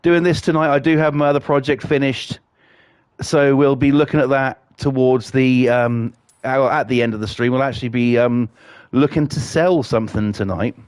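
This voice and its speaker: A man's voice: mid-range voice, fairly even intonation, normal speed, smooth, no echo. Sweary man with a cold. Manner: casual, sweary, rambling with fillers. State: has a cold. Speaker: streamer. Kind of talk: casual game stream chat.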